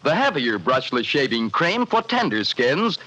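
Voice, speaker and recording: Male announcer, vintage ad read, husky, lively pitch. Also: a little slow, low-quality recording. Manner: jaunty, salesy. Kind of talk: vintage radio commercial.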